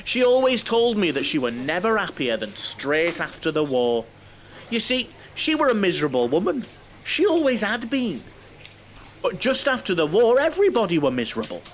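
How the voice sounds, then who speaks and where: An actor playing a naive, lonely bachelor who rambles and overshares in an audio comedy. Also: male voice, sits high, huge pitch swings, normal speed, a little hiss or hum. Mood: reminiscing, rambling. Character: chatty, naive, lonely middle-aged bachelor, mother-obsessed coach passenger. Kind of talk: audio drama comedy acting.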